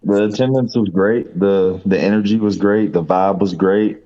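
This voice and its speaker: A deep-voiced man who organizes a convention, a little hoarse from talking all weekend. He speaks earnestly and repeats his phrases, and he comes through a dull, lo-fi call line. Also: steady tone, normal speed, mellow.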